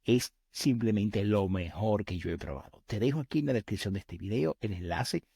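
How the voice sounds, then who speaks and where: A man's voice: fairly low pitch, expressive, normal speed, gravelly, warm tone, husky, no echo, clean studio sound. A man reading a sponsor message on a podcast in an upbeat, promotional way. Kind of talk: sponsor read.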